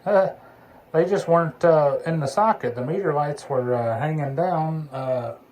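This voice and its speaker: A man's voice: fairly high pitch, measured pace, darkish tone. Man chatting casually over a noisy recording. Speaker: CB radio hobbyist demonstrating a repaired radio. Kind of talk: casual radio chat and demonstration. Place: indoor electronics workbench. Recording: clearly noisy workbench recording, background hiss.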